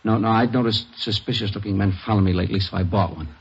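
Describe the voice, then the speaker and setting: Rattled husband talking to cops, gravelly voice, old muffled radio show. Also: male voice, on the low side, steady tone, very fast. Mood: shaken. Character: shaken husband recounting his wife's shooting to police. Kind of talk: 1950s radio drama acting. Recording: dull old radio recording.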